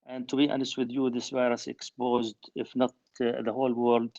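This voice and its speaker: Halting professor speaking over muffled audio. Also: male voice, mid-range voice, little pitch movement, darkish tone. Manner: measured, halting, many filler pauses. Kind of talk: conference talk.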